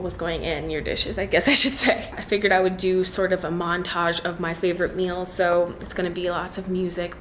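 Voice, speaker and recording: A young woman vlogging from her bright loft apartment, chatting in a casual, upbeat way about her routine and her groceries. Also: lowish voice, slight background noise. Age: young adult. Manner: chatty and upbeat, drawn-out emphasis, frequent "like" and "um". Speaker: lifestyle vlogger. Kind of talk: casual vlog narration. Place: bright loft apartment with brick walls, indoors.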